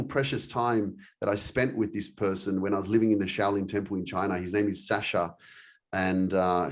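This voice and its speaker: Male podcast host, energetic, encouraging, filler-heavy, low voice. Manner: enthusiastic, motivational, many fillers.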